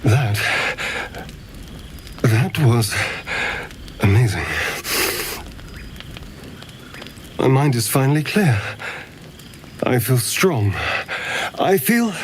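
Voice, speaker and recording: An actor playing a suave male vampire in a video game, breathy and theatrical, with hesitant, pleading delivery. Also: mid-range voice, big pitch swings, takes it slowly, pauses often, darkish tone, slight background noise. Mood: satisfied, silky.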